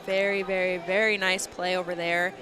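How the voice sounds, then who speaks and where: An American woman serves as color commentator on a softball broadcast. Her voice is a little low for a woman, and she breaks down the plays in live analysis. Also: flat delivery, normal speed, music in the background.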